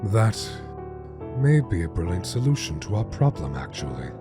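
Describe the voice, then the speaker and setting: Man as brooding monster scientist for a comic dub: low voice, wide swoops, formal and theatrical. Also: very animated, moderate pace. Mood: thoughtful. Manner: precise, theatrical, formal diction. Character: obsessive, brooding male skeleton scientist, learned and formal. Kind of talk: dramatic comic-dub voice acting. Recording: music behind the voice.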